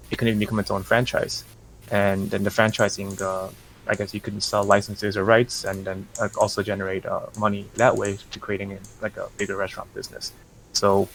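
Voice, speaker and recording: A man representing a project, talking through his business plans in a casual interview, measured and full of fillers and restarts. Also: fairly low pitch, fairly bright tone, slight background noise, rough recording.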